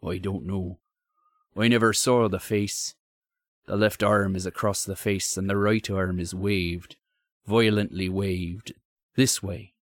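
A man's voice: low-pitched, steady tone, no room sound, studio quality. Man playing a grave, haunted railway signalman. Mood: haunted, hushed. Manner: grave, halting, deferential. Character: troubled, anxious, courteous male railway signalman with grave manner.

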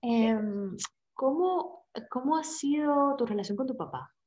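Woman, interviewer, casual questions, warm, admiring. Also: sits high, lively intonation, slow pace, muffled recording.

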